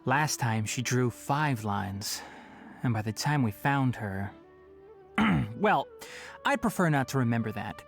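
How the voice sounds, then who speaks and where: A young man playing a composed, scholarly forest ranger in a video game, articulate, measured and matter-of-fact. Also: medium pitch, lively intonation, gravelly, faint music behind. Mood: dry, matter-of-fact.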